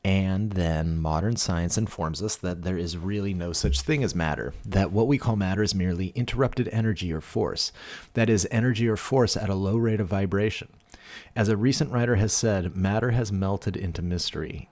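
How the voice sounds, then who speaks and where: A man reading a philosophical text aloud in a deliberate, emphatic manner, leaning into rhetorical questions. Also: deep voice, darkish tone. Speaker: audiobook reader.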